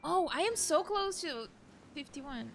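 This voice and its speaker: A woman's voice: high-pitched, expressive, unhurried, gravelly, a bit bright, a little hiss or hum. A woman streaming a video game, chatting casually and reacting expressively as she plays. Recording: game music behind the voice.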